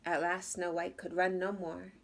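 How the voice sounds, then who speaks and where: A woman's voice: lowish voice, little pitch movement, normal speed, creaky, fairly bright tone. A woman reading a children's story aloud expressively, as if to young listeners. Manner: addresses young listeners with questions. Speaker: storybook reader.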